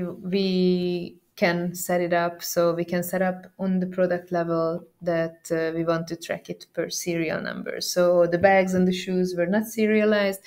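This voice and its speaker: Low-pitched woman giving a software walkthrough. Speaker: software trainer presenting a demo. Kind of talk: explanatory software walkthrough, conversational.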